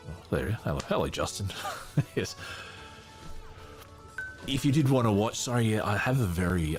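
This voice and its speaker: A man with a low voice hosts a casual podcast, commenting live as he reads. He talks haltingly and rambles off on small tangents, with faint music behind him. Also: darkish tone. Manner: rambling, halting, easily sidetracked. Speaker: podcast host. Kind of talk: casual live commentary while reading a book.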